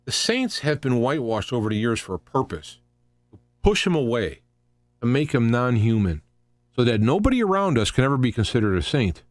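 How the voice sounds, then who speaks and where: A man hosting a live talk radio show with an opinionated, unscripted political monologue, halting and restarting phrases and posing rhetorical questions. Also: mid-range voice, sing-song, no room sound. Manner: halting and rambling, often repeating and restarting phrases. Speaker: radio host.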